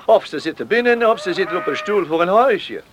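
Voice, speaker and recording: A jovial travelling pedlar with a German accent for a radio drama. He wheedles and chuckles, repeating words in a lively, swinging voice. Faint noise sits behind him. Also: sits high, fairly quick. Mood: lamenting. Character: jovial wheedling foreign pedlar with a cabinet on his back. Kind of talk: radio play acting.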